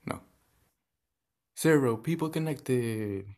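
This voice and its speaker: A vlog host with noticeable creak in a mid-pitched voice. The delivery is casual chat, addressed straight to the viewers. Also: slow, darkish tone. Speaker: podcast host.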